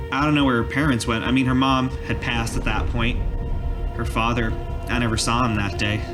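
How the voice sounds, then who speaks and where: A man voicing a weary village cobbler in a tabletop roleplay, telling his story in a halting, folksy way. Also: medium pitch, lightly acted. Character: weary male village cobbler recalling a massacre. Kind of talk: in-character tabletop roleplay monologue. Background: background music.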